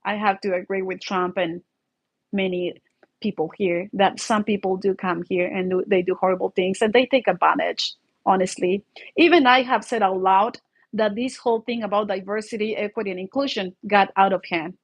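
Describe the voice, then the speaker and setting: Mexican lady vlogging solo, spanish is her first language, mexican accent, rambly and chatty, medium pitch, keeps checking you agree. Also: steady tone, normal speed, muffled recording. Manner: rambling, conversational, seeks agreement with "right?". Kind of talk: informal solo vlog commentary.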